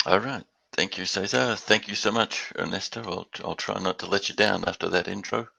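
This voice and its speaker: An older man with an Australian accent giving a webinar presentation, halting and repeating words as he goes. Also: sits low, fairly even intonation, vocal fry, sharp tone, husky, no echo. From Western Australia. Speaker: guest speaker.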